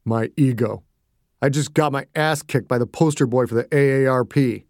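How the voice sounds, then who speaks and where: A narrator voicing a gruff, sullen man who has just been beaten up, talking curtly. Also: mid-range voice, normal speed, darkish tone, no room sound, studio quality, natural voice. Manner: gruff, curt.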